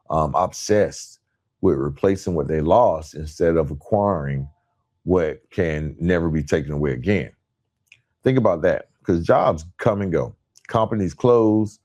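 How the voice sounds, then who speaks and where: A man's voice: deep voice, darkish tone. A man hosting a podcast, speaking straight to listeners in an emphatic motivational monologue built on rhetorical questions and repeated phrases. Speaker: podcast host.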